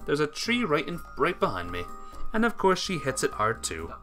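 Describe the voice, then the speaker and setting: A man's voice: a little high, wildly swooping pitch, normal speed, bright, forceful tone, soft background music. A man streaming a visual novel, reading the text aloud dramatically and chatting casually with viewers.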